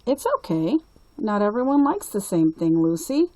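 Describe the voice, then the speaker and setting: A woman voicing a kindly, wise grandpa in a storybook, gently encouraging and emphatic. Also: medium pitch, big pitch swings, moderate pace, slight background noise, close to an everyday voice, poor audio. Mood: reassuring.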